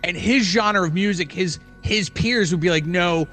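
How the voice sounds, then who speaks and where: A man's voice: sits high, normal speed, fairly bright tone, faint music behind. A middle-aged man co-hosting a podcast in casual, agreeable conversation, often repeating short words of agreement. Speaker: podcast co-host.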